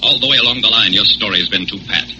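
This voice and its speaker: An actor playing a confident, commanding aviator hero in a 1940s radio adventure, assured and crisp. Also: male voice, fairly low pitch, quick pace, sharp tone, muffled recording. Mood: confident accusation. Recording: noisy old 1940s radio recording.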